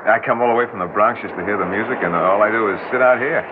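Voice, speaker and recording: An actor playing a modest, uneasy young boxer, speaking haltingly in colloquial 1960s radio drama style. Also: male voice, fairly low pitch, phone-quality sound, lightly acted. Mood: shy, hesitant. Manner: halting, hesitant, tough-guy colloquial speech. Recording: noisy, thin old radio recording.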